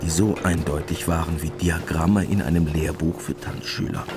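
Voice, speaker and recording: An actor narrating a war story in a German radio play as a measured storyteller, in a dry, matter-of-fact manner. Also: male voice, extremely low pitch, fairly even intonation, over music.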